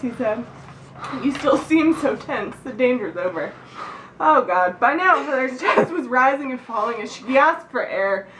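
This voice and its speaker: Giggly lady reading out loud to friends, high pitched, echoey room, bit of noise. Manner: giggly, often breaking into laughter. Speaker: reader of a birthday story. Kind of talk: reading aloud informally to friends. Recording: roomy, distant mic with faint noise.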